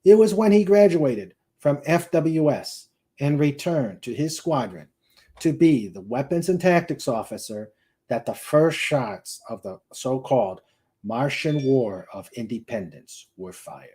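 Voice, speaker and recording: A man narrates a novel in a mellow, middle-pitched voice. His reading is emphatic and swings widely in pitch, with occasional stumbles over words. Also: animated, a little slow. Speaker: host and narrator.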